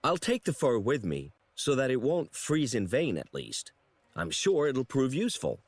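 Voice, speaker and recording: A man's voice: medium pitch, wildly swooping pitch, moderate pace, breathy edge, no room sound, full character voice. A man voicing a young, naive and polite male apprentice angel in a game, who talks hesitantly and sometimes stammers. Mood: curious. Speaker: game protagonist. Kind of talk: voiced game dialogue.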